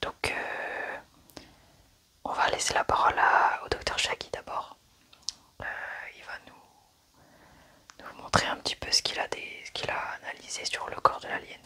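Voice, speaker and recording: A young woman, whispering softly and haltingly in an ASMR roleplay. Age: young adult.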